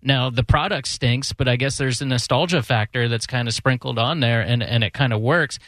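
A man's voice: mid-range voice, moderate pace, a bit bright, no room sound. Male talk radio host, mid-range voice, loose rambling conversational delivery, self-deprecating, very dry sound. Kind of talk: casual chat.

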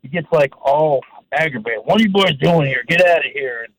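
A man's voice: a little high, animated, a little fast, fairly bright tone. A man calling a radio show and casually telling a story. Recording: phone call with faint noise, clicks.

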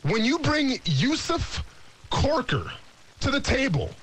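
A man's voice: very high-pitched, wildly swooping pitch, takes it slowly, a bit bright, slightly rough, poor audio. A man hosting a sports radio talk show, rambling and joking his way through draft picks. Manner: rambling, joking, repeats himself.

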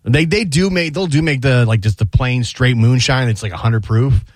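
A man's voice: medium pitch, moderate pace, no echo. A man in his forties, a radio co-host, telling stories insistently in casual banter. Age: 40s. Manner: storytelling, insistent. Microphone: studio microphone.

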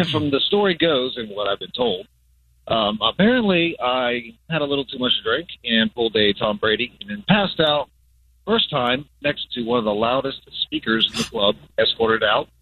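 A male caller tells his story over a tinny phone line. His voice is gravelly and his pitch moves a lot. He speaks in a relaxed way and clears his throat now and then. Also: moderate pace.

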